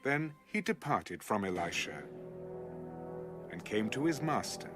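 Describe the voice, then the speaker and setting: A man's voice: fairly low pitch, big pitch swings, measured pace, over music. A man narrating a dramatized scripture audiobook as a scripted storyteller.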